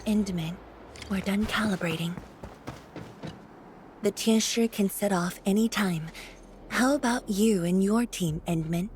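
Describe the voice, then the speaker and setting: A woman voicing a caring, composed female leader in a video game, speaking in a formal, gentle, deliberate way. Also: medium pitch, slight background noise, lightly acted. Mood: calm, resolute.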